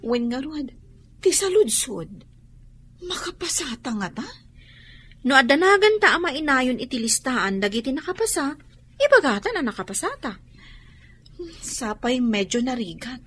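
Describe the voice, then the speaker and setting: An excitable, teasing young student in an Ilocano radio drama. Her voice sits high and swings freely in pitch with an Ilocano accent. There is faint noise in the recording. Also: moderate pace, pauses often. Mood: relieved.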